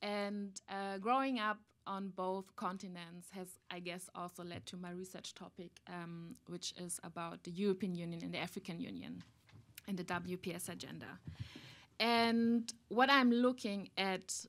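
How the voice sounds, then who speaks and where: A woman's voice: lowish voice, normal speed, a bit bright. A young woman from Germany with a German accent, speaking as a researcher on a conference panel, thoughtful with fillers, into a table microphone. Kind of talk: panel talk.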